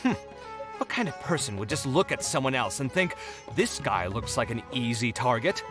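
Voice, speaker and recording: An actor playing a principled, scholarly young architect in a video game, articulate and reflective in an emotive way. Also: male voice, mid-range voice, big pitch swings, normal speed, over music, lightly acted. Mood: rueful storytelling. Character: principled young male architect, scholarly, expressive, too trusting.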